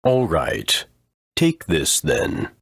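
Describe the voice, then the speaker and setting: An ancient, solemn elder of a creature tribe for a video game. The voice is extremely deep and very husky, with slow, formal, weighty phrasing in a dry recording. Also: male voice, huge pitch swings, no echo, full character voice. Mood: gruff, measured. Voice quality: husky, rumbling.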